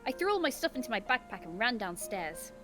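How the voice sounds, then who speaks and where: A woman reading aloud as a shy, flustered teenage schoolgirl in a visual novel, expressive and earnest. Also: a little high, a little fast, faint music behind. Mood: earnest narration.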